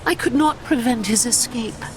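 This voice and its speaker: A woman's voice: on the high side, normal speed, background noise, natural voice. An actress playing a dutiful elf warrior who reports formally to her master. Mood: earnest. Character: young female elf warrior, earnest and dutiful.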